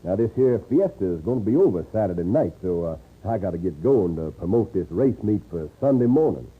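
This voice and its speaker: A man's voice: low voice, very animated, a little fast, husky, full character voice. A man playing a sly, folksy frontier gambler with a rural Western American drawl in a radio western. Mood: folksy, easygoing. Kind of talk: 1950s radio western acting. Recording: tinny old radio recording.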